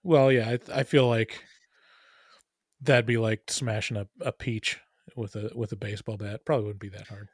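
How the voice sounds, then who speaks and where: A man's voice: fairly low pitch, steady tone, normal speed, husky, no echo, studio quality. Man in casual podcast talk, dryly recorded. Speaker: podcast co-host.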